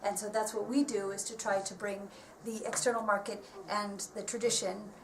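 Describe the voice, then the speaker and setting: A woman's voice: mid-range voice, normal speed, a crowd in the background. Woman explaining, echoey and distant. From United States. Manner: earnest, steady explaining with frequent ums and restarts. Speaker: marketing advisor. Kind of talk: explanatory interview answers. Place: workshop premises. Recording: echoey room, voice from far off, faint background noise.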